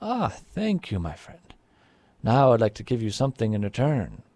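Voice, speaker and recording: A courtly island king speaks in formal, gracious phrases that swing up and down in pitch, as a male storyteller puts him on. The voice stays dark and gravelly, with a close, dry sound. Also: huge pitch swings, moderate pace, dry recording. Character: grateful, formal island king, older man.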